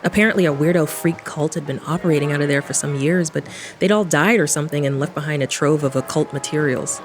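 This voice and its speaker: A woman's voice: low voice, quick pace, soft background music, lightly acted. An actress playing a sardonic woman engineer who investigates the paranormal, narrating an audio drama in an informal, irreverent way. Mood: wry. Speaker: protagonist narrator.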